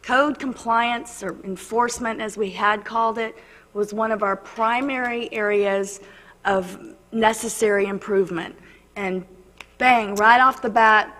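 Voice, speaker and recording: A woman on a city council, slightly hoarse, earnestly making her case point by point at a meeting. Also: fairly high pitch, steady tone, unhurried, fairly bright tone. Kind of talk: formal remarks at a council meeting. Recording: long reverb of a council chamber.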